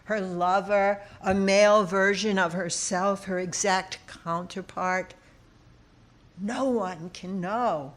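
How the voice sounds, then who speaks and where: A woman reads a personal essay aloud at a slow pace in a mid-pitched voice. Her phrasing is deliberate and dramatic, with pauses that set each phrase apart, in the manner of a writer presenting her own literary work. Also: steady tone.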